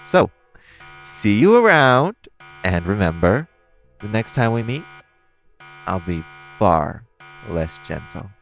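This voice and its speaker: A man's voice: lowish voice, big pitch swings, takes it slowly, vocal fry, pauses often, fairly bright tone, faint music behind, heavily acted. A man playing a flirty, cocky supervillain thief in an audio roleplay, teasing the listener with mock politeness. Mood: teasing menace. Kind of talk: theatrical audio roleplay, one-sided dialogue to the listener.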